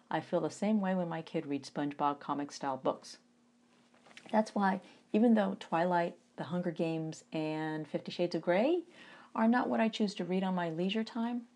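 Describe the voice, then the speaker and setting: A woman in her forties from the United States, an author giving an informal reading of her own memoir in a conversational, wry way with animated emphasis. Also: lowish voice. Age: 40s.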